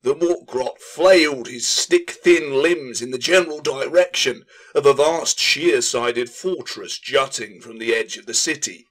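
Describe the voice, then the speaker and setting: A man's voice: mid-range voice, measured pace, hardly any pauses, a bit bright. A man reading scripted fantasy fiction as an audiobook narrator, in a dramatic storytelling style.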